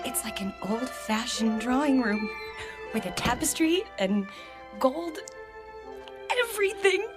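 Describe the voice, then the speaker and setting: An actress playing a cheerful, teasing, romantic wife with an American accent, expressive and coaxing in a radio drama. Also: female voice, high voice, a little slow, long pauses, music in the background. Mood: delighted.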